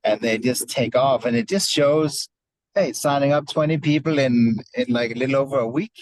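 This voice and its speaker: A man's voice: mid-range voice, normal speed, dry recording, poor audio. A man speaking on a video call from his car, upbeat, often repeating words and adding "right?". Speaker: team leader. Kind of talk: casual remarks on a call.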